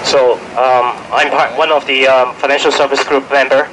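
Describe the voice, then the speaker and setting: Sick Hong Kong man, hoarse, urgent press statement, faint street hum. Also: mid-range voice, flat delivery, normal speed. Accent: Hong Kong English. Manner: urgent, pleading, many fillers and restarts. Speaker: finance worker speaking at a press conference. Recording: outdoor press event with faint street noise.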